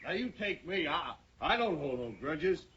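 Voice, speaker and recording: A stammering sly gunslinger. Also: male voice, fairly high pitch, big pitch swings, moderate pace, fairly bright tone, breathy edge, full character voice. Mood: wheedling. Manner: stammering, falsely friendly. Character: sly male gunslinger, sullen and wheedling. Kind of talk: 1950s radio drama acting. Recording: muffled old radio broadcast.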